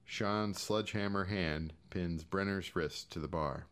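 Low-pitched American man reading a short story. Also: measured pace, a bit bright, clean tone. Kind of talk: scripted audiobook-style fiction narration.

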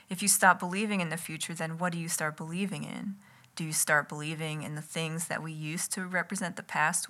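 A woman reading her own writing aloud as an ASMR read-along, in a deliberate, literary manner with wry asides, close to the mic in a home kitchen. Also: fairly low pitch, sharp tone. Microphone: close enough to catch breath and lips.

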